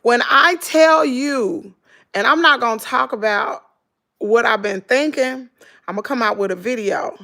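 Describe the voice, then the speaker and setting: A middle-aged woman with a Southern American accent, hoarse, chatting casually and gossiping with her viewers in a dramatic way. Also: fairly high pitch, a little slow, pushed and bright. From Southern United States. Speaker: vlogger.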